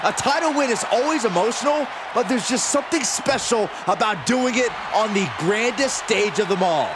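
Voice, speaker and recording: A man giving excited wrestling commentary for a video game. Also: high voice, moderate pace. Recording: loud arena crowd and noise behind. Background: roaring crowd.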